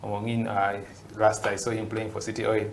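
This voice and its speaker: A man's voice: lowish voice, completely flat delivery, fairly quick, gravelly, slight background noise. Man telling his story. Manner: storytelling, with frequent uhs and repeats. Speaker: interview guest, basketball player. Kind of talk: reminiscing in an interview.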